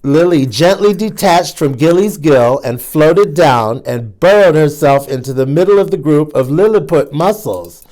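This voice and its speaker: Male storytime host reading a kids' picture book, lively and playful, unhurried, slightly raised pitch. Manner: animated, playful asides to young listeners.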